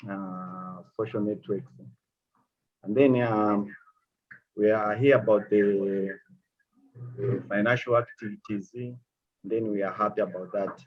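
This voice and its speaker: A man from Zambia with a Zambian accent, speaking halting, grateful remarks to a speaker with many pauses. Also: fairly low pitch. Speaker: audience member asking questions. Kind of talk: casual spoken remarks. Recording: dull lo-fi call audio.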